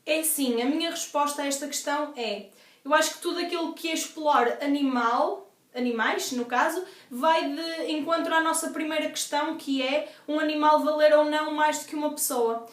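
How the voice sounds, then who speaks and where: A woman's voice: sits high, moderate pace, recorded from a distance. A young woman with a European Portuguese accent from northern Portugal, chatting through a Q&A vlog with a congested, stuffy voice and lots of fillers. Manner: chatty, explanatory, many fillers. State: congested from asthma. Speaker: vlogger. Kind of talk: casual Q&A vlog. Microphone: device camera at a distance. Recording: echoey room, voice off-mic.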